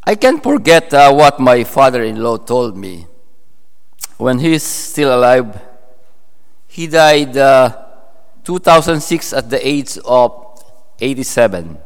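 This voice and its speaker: Slow Filipino-accented preacher in a reverberant hall. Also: male voice, medium pitch, expressive, clean tone. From Philippines. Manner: halting, with many fillers and restarts. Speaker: pastor. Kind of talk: sermon. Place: church hall.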